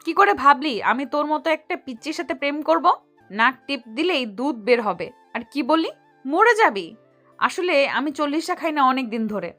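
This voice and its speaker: A woman playing a stern, sharp-tongued young woman in a romantic audio story, mocking and scolding. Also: high-pitched, sing-song, normal speed, soft background music, exaggerated voice. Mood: indignant, scolding. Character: stern, scolding young woman, older than her suitor.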